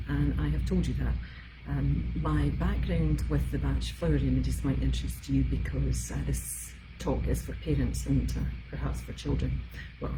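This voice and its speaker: A woman's voice: rumbling low, rough recording. A Scottish woman in her fifties, an emotional support specialist giving a chatty, rambling live-streamed talk with many fillers. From Scotland. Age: 50s.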